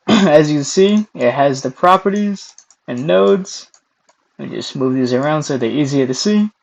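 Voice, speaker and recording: A young man hosting a casual screen-recorded software tutorial, hoarse and losing his voice, clearing his throat often between steps. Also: fairly high pitch, expressive, unhurried, lo-fi sound. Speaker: tutorial host.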